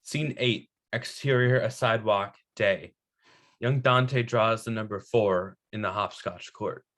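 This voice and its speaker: A man's voice: fairly low pitch, measured pace, fairly bright tone. A man reading scene headings and stage directions as scripted narration at a table read.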